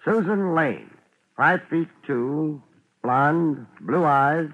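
An actor playing a wisecracking, world-weary private investigator, narrating and trading lines in a dry, laid-back deadpan in a hard-boiled radio drama. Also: male voice, a little high, very slow, heavily acted. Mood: wry, laid-back. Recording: muffled, noisy 1950s radio broadcast.

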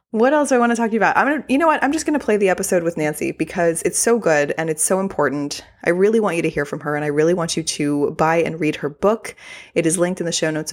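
Low-voiced chatty Texan podcast host woman. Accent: mild Texan. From Texas. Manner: chatty and effusive. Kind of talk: casual solo podcast talk.

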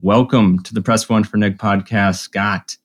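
A man hosting a podcast, talking in a friendly, enthusiastic way with quick asides. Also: on the low side, fairly even intonation. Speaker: podcast host. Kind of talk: casual interview hosting.

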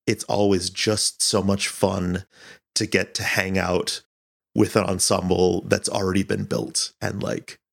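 A man's voice: sits low, creaky. A man being interviewed as a director, talking casually with hesitant, searching phrasing.

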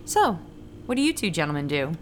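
A woman's voice: low-pitched, sing-song, brisk, a little hiss or hum. A woman playing a friendly, ordinary runner and ad writer, narrating her story in a scripted fiction podcast. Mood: friendly, upbeat. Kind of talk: first-person scripted audio drama narration with dialogue.

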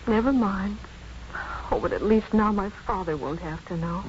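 An actress playing a distraught, vulnerable young woman patient in a 1950s radio drama, speaking in an emotional, halting way. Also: medium pitch, a little mellow, lightly acted. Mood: tearful, tender. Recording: muffled, faintly noisy 1950s radio broadcast.

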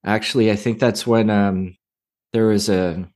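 A middle-aged man hosting a podcast, talking in a gentle, unhurried, friendly way. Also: lowish voice, fairly even intonation. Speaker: podcast host. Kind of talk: interview hosting.

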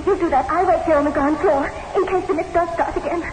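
A woman's voice: very high-pitched, fairly even intonation, a little fast, roomy sound. An actress playing an earnest, devoted wife fearful for her husband in a radio drama. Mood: anxious, pleading. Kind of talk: 1960s radio horror drama acting. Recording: very noisy old radio recording.

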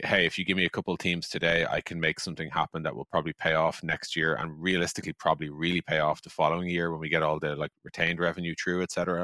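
Deep voiced guy chatting strategy on a podcast, forceful, says like a lot, really dry sound. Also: no echo. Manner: conversational, emphatic, peppers talk with "like" and "you know". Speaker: podcast co-host and software executive. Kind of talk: casual business discussion.

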